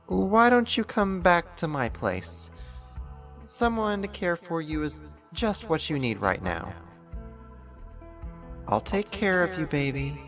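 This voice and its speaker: A performer playing a possessive yandere neighbor who kidnaps, sweet yet menacing, in an intimate, coaxing ASMR roleplay monologue. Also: mid-range voice. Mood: falsely caring. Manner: coaxing, slow, deliberate, condescending endearments.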